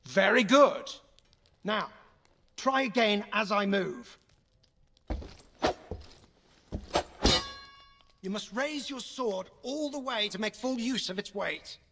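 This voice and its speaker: An actor playing a stern, sardonic older uncle who gives commanding sword lessons in an audio game, with a raspy voice. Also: male voice, high voice, animated, measured pace, very noisy, full character voice. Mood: forceful, commanding. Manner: commanding, dry sarcasm. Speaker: sword instructor. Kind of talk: dramatic audio game acting.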